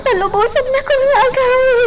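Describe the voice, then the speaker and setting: A woman's voice: extremely high pitch, moderate pace, music in the background. A woman playing a distressed, tearful young captive pleading in an Ilocano radio drama.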